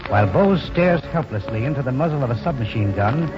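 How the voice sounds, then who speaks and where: A man's voice: mid-range voice, expressive, brisk. A man delivering formal, scripted narration for a 1940s radio drama, with music behind him. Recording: muffled 1940s radio broadcast.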